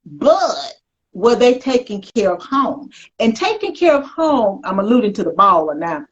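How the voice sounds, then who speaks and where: A woman hosting a conversational faith-themed interview, speaking in an emphatic, reflective way with drawn-out phrases. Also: mid-range voice, very animated, husky, lo-fi sound. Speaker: talk show host.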